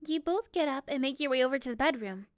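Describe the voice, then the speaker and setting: A woman's voice: high voice, lively intonation, fast talker, dry recording, clean studio sound. A woman reading scripted second-person story narration for a roleplay. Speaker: narrator and performer.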